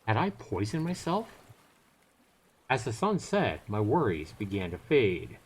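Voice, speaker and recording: A man's voice: fairly low pitch, sing-song, measured pace, husky, poor audio. A man streaming a visual novel, reading it aloud with joking, casual asides. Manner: jokey, bantering asides between read passages. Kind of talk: live game read-aloud with casual banter.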